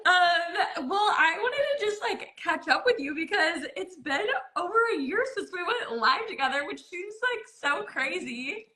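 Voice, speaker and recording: Young woman host, high bright voice, giggly, says like a lot. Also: expressive, unhurried, hardly any pauses. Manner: bubbly, laughs often, says "like" a lot. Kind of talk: casual live-stream chat.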